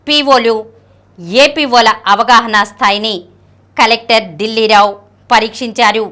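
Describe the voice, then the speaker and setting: A woman reading a news bulletin in a steady, formal newsreader manner. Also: on the high side. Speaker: news reader.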